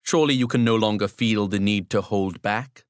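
A man's voice: on the low side, moderate pace, no echo, clean studio sound, close to an everyday voice. A man voicing a courteous, talkative young Pakistani man who hosts a guest with formal, reassuring politeness. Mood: courteous, coaxing.

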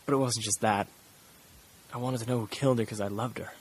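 A man's voice: fairly low pitch, steady tone, a little fast, a little hiss or hum, natural voice. A man playing a bitter, defensive young murder suspect in an audio drama, speaking in a curt, guarded way. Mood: pleading.

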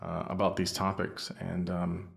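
A man's voice: low-pitched, completely flat delivery, unhurried, creaky. A man hosting a podcast, introducing his guest and interviewing him enthusiastically with many fillers and restarts. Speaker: podcast host.

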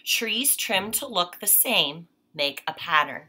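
A woman's voice: lowish voice, expressive, takes it slowly, bright, forceful tone. A woman in her thirties giving a read-aloud lesson for children, explaining simply and often checking in with short tags. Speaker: mother and teacher reading aloud for children. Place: indoors, small room at home.

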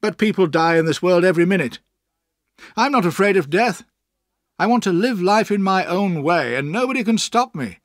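A male narrator plays a proud, charming young gentleman of a storybook. He sounds self-assured and intense, with raised pitch that moves boldly, in a dry recording. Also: big pitch swings, normal speed, no room sound. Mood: careless, defiant. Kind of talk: audiobook character acting.

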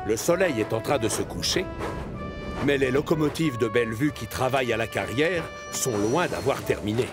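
A man's voice: mid-range voice, animated, moderate pace, over music. A man reading scripted narration for a children's cartoon.

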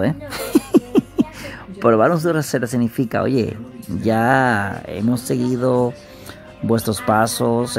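A man in his thirties reacts to a speech, chatting to his viewers at a home webcam. His low voice has a gravelly fry, and music plays behind him. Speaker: reaction streamer. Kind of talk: casual commentary.

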